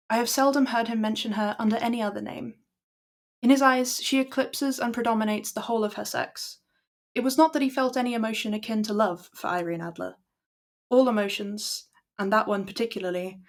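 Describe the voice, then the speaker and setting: A young Irish woman with a croaky voice, chatting casually to her stream and then reading a classic story aloud in a steady, measured way. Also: medium pitch. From Ireland. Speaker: livestream book reader.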